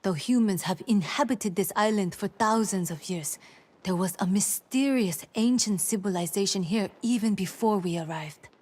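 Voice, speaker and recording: A woman voicing a discreet, formal figure of authority in a fantasy video game, with a measured, guarded manner. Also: mid-range voice, darkish tone, lightly acted. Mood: grave, guarded.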